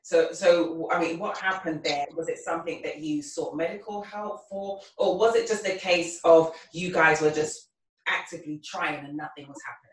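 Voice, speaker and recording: A woman hosts a podcast interview, chatty and quick to ask follow-up questions. She sits back from the mic, so her voice sounds distant. The room adds a noticeable echo. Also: sits low. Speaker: podcast host. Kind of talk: casual interview.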